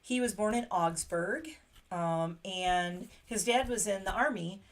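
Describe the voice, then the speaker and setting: A woman hosting a casual makeup livestream, chatty and excitable, laughing often. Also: medium pitch, a little slow. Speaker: beauty livestream host.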